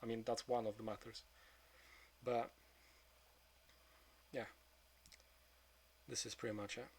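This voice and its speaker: A man of twenty-two speaking in a frank, subdued confessional monologue, swearing often and trailing off into quieter mutters. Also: mid-range voice, level tone, slow, a little hiss or hum. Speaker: vlogger.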